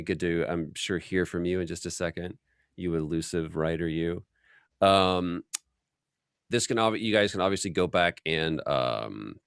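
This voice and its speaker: A man hosting a casual, chatty workshop interview, telling stories in an informal and animated way. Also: low voice, smooth, no echo, studio quality. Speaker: host.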